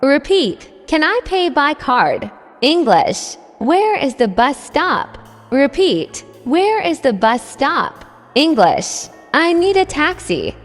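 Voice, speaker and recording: Slow, high, very lively woman teacher. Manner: deliberate, says each phrase twice. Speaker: English teacher. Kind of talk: scripted beginner language drill. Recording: long reverb with faint music.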